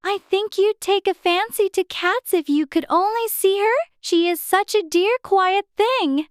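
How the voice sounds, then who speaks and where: A woman's voice: extremely high pitch, unhurried, dry recording, clean studio sound, voice pushed up. A woman voicing a polite, eager little girl with an earnest, childlike manner in a dramatized audiobook. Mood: eager, chatty. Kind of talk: dramatized audiobook character dialogue.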